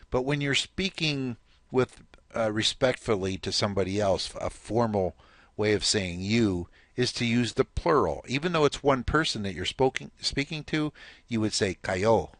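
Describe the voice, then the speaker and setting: A man's voice: on the low side, moderate pace, no echo. A man teaching a recorded language lesson, patient and explanatory, prompting the listener to repeat.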